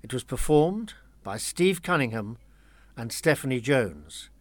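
A man's voice: mid-range voice, big pitch swings, unhurried, dry recording. A man announcing the credits of a radio play in a scripted narration style.

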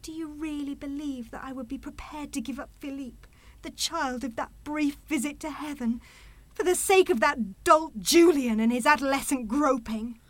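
A woman's voice: high-pitched, normal speed, dry recording, close to an everyday voice. A woman playing a defiant, passionate young mother in a radio play.

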